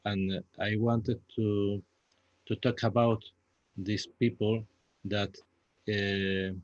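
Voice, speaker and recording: A man with a Spanish accent answering panel questions in English as a second language, halting and repeating himself as he searches for words. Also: on the low side, flat delivery. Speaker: playwright guest. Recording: dull lo-fi, echoey room sound.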